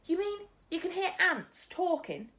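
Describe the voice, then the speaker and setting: Eager polite little girl, high and bright with wide-eyed questions, as a woman puts her on for a storybook. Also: very animated, unhurried, exaggerated voice. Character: curious polite young girl.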